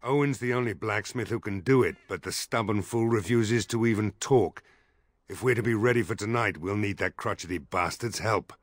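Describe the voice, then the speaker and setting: An actor playing a gruff, weary, skeptical village mayor in a fantasy video game, blunt and grumbling, with a husky voice. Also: male voice, on the low side, moderate pace, no echo, lightly acted. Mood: worried, exasperated. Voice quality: gravelly, husky.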